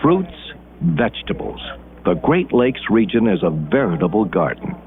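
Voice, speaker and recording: A man with an American accent narrating an educational documentary in measured, deliberate phrases with dramatic pauses. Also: on the low side, big pitch swings, background noise. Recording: muffled band-limited 1980s film soundtrack. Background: background music.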